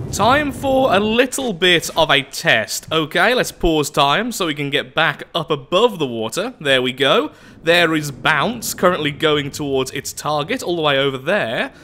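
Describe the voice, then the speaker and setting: A man hosting casual gameplay commentary while sick with the flu and losing his voice, speaking in a lively, upbeat way. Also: fairly high pitch, a little hiss or hum. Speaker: gaming channel host.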